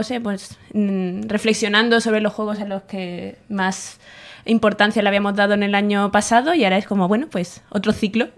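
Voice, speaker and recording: A woman in her thirties, co-hosting a video game podcast, chatting animatedly from a home studio beside her co-host. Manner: chatty and animated, acts out family quotes in higher voices. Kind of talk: casual chat.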